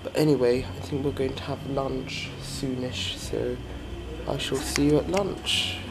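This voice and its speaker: A young man casually talking to his viewers in a travel vlog, relaxed and chatty. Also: medium pitch, unhurried, low-quality recording. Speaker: travel vlogger. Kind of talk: casual vlog talk to camera. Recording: loud music playing over the voice.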